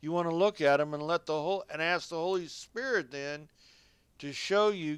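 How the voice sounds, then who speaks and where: A man delivers a radio sermon at an unhurried pace in a dry, close sound. His voice is very clean and resonant. He reads scripture and exhorts listeners directly, in homespun, colloquial language. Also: on the high side, a bit bright, smooth, no echo. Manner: folksy, colloquial phrasing. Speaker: radio preacher.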